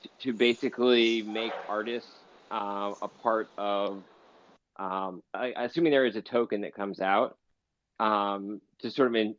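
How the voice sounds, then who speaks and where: A man's voice: on the low side, no echo. A man hosting a casual live audio interview, hesitant, often circling back to restart his phrasing. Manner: hesitant, circling phrasing with repeated false starts. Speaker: interview host. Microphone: online voice call. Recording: muffled, noisy online audio chat.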